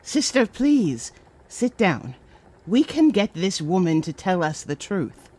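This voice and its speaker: A commanding, suspicious noblewoman, played by an actress, talks very slowly and sternly. Her pitch moves dramatically, in fantasy audio drama style. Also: big pitch swings, a little mellow, slightly rough, exaggerated voice. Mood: firm, commanding. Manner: stern, deliberate.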